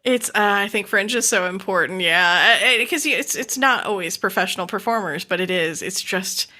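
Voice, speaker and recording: A woman's voice: mid-range voice, moderate pace, pushed and bright. A woman hosting a podcast in a casual, effusive, giggly way. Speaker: podcast host. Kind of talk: casual interview chat.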